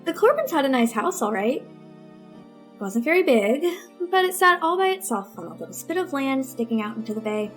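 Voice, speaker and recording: A woman's voice: high-pitched, very animated, normal speed, faint music behind. An actress playing a bitter, sulky teenage girl in an old-time radio melodrama, with a dramatic, accusing manner. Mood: wry storytelling. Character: bitter, petulant teenage girl narrating her grievances.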